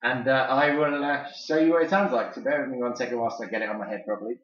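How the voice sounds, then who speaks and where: A man's voice: medium pitch, fairly even intonation, fast talker, a bit bright. A man giving a chatty, enthusiastic, rambling hands-on product review in a casual style. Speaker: toy and collectible reviewer. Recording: roomy echo, dull lo-fi sound.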